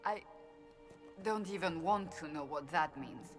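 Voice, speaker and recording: A woman's voice: deep voice, a little slow, music in the background. An actress playing a principled, skeptical woman companion in a fantasy game, formal and questioning. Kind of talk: video game voice acting.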